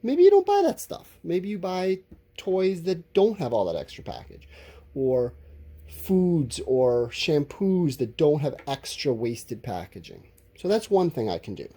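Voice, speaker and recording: A man's voice: a little high, very animated, a little slow, mellow. A man teaching a lesson to children, thinking aloud in simple, encouraging explanations. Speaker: elementary school teacher. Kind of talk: informal lesson, thinking aloud while writing answers.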